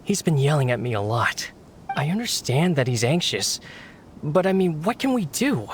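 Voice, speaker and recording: A man's voice: fairly high pitch, very animated, normal speed, slight background noise. A man playing a fretful, put-upon servant who complains in an exasperated way.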